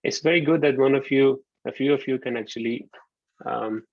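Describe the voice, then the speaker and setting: A man from Pakistan in his thirties giving a relaxed online university lecture, often pausing with ums. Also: medium pitch, gravelly, no room sound. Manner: relaxed, hesitant with frequent ums. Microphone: computer mic on a video call. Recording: dull lo-fi video call audio.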